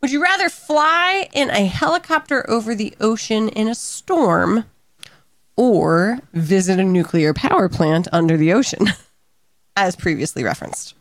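A woman's voice: mid-range voice, animated, a little slow, dry recording. A woman co-hosting a podcast, reading out quiz questions in an upbeat, casual way with frequent laughter. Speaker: podcast co-host asking the questions. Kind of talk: casual chat.

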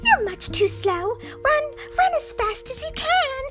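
Woman voicing a very high, cheeky little gingerbread man. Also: sing-song, unhurried, over loud music, heavily acted. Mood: mocking. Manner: taunting, sing-song.

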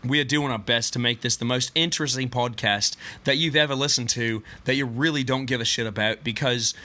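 Man in a put-on Aussie accent, bright tone. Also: mid-range voice, brisk. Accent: put-on Australian. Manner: rambling, joking with filler restarts. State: has a cough. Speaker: podcast co-host. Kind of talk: comedic podcast banter.

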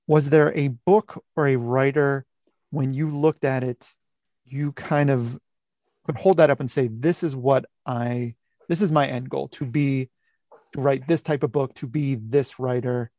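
A man hosting a podcast, asking conversational questions in halting, searching phrases. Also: mid-range voice, steady tone, darkish tone, dry recording. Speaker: podcast host.

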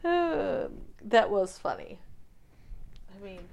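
A middle-aged woman with a loud, animated voice chats casually on a podcast. She laughs easily and talks with lively emphasis. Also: mid-range voice, takes it slowly, creaky. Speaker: podcast co-host. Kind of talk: casual chat.

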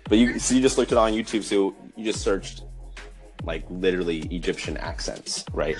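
A man's voice: deep voice, moderate pace, a little mellow, faint music behind. A man hosting an interview podcast, keen and admiring, often saying wow. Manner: enthusiastic, admiring, many wows. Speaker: podcast host. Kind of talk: casual interview chat.

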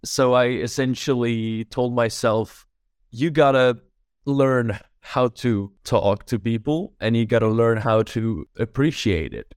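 A man's voice: medium pitch, takes it slowly, clear, resonant tone, dry recording, studio quality. A young Czech man in his twenties, a filmmaker guest chatting casually and thoughtfully on a podcast, often adding "like" and "right?". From Czech Republic. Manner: relaxed, thoughtful, many fillers like "like" and "right?". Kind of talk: casual interview chat.

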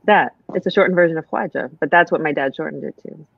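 Woman, American, lowish voice, hesitant and reflective, dry close sound, slightly muffled. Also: fast talker, vocal fry, slightly rough, no room sound. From United States. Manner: reflective, hesitant with many fillers. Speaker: guest, writer. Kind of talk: casual conversation.

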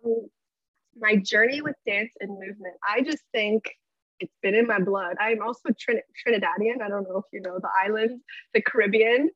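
A woman guest on a podcast, talking in a bubbly, energetic way about dance and spirituality, with many "like" fillers. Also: mid-range voice, normal speed, no room sound, lo-fi sound. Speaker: podcast guest, workshop leader. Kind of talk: casual spiritual chat.

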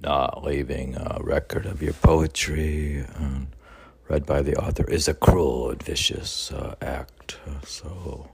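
Slow, deep-voiced man reading poetry. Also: steady tone, vocal fry, breathy edge. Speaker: poet reading own work. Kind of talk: poetry reading with rambling casual asides.

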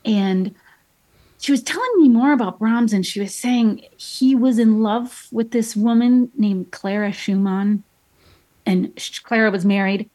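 A woman's voice: fairly high pitch, a little slow, mellow. A woman in her thirties, a painter being interviewed, telling stories in a chatty way with plenty of fillers and restarts. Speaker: painter guest. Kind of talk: casual interview chat.

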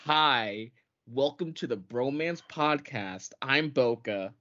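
A man's voice: mid-range voice, animated, slow, pushed and bright. A man co-hosting a podcast in casual banter, sarcastic and swearing freely. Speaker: podcast co-host. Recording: dull lo-fi podcast audio.

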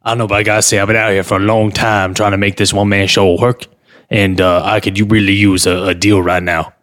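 A man's voice: on the low side, little pitch movement, a bit bright, natural voice. A man playing a hesitant, stammering street performer who promotes his one-man show in an improvised comedy interview. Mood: bumbling. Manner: halting, stammering, full of filler sounds.

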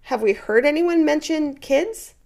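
A woman's voice: high voice, expressive, unhurried, gravelly, a little mellow. A woman co-hosting a podcast, reading contestant bios aloud in a chatty, wry way and laughing often. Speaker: podcast co-host. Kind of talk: casual chat reading cast bios.

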